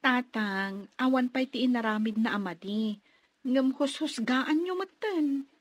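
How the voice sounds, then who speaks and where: A young sweetheart and loyal daughter in a radio drama. Her voice sits high, earnest in its hurt and trust, in a dry recording. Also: measured pace, no room sound, lo-fi sound. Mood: pleading. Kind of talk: Ilocano radio drama acting.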